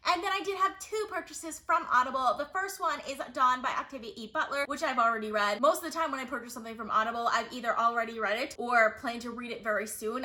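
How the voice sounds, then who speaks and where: A woman's voice: high-pitched, pushed and bright. A woman chatting casually as a book review vlogger, enthusiastic and gushing, often repeating words for emphasis. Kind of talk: casual chatty video talk.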